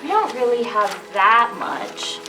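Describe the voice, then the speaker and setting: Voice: mid-range voice, very animated, slow, over music. A female performer playing a courteous fantasy flying creature in a children's reader's theater play, recorded at a distance. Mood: weary.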